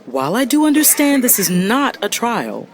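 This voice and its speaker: An actress playing a fiery, exasperated lawyer and big sister, speaking emphatically in a dramatic audio story. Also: female voice, fairly high pitch, big pitch swings, normal speed. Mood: composed, insistent. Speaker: audiobook narrator. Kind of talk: dramatic first-person story narration.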